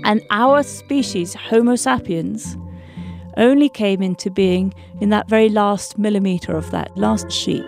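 A woman paleontologist speaks in an interview, explaining ideas thoughtfully. Her voice sits at a middle pitch. Faint music plays underneath. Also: a little slow, gravelly, darkish tone. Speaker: paleontologist guest.

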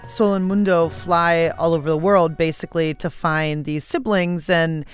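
Very clear, lowish-voiced woman host. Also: fairly even intonation, moderate pace, faint music behind. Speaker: host, interviewer. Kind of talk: conversational radio interview.

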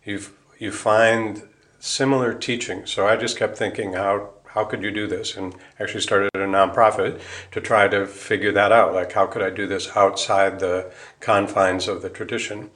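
A man's voice: fairly low pitch, little pitch movement, moderate pace, fairly bright tone. An American man answering interview questions in a reflective, informal way, explaining ideas as he thinks aloud and rephrases. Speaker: interview guest, musician and Buddhist priest.

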